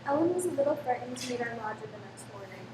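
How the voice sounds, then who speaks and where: A woman's voice: fairly high pitch, animated, fast talker. A woman giving dramatic scripted narration for a radio play story. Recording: echoey, distant mic, clear noise.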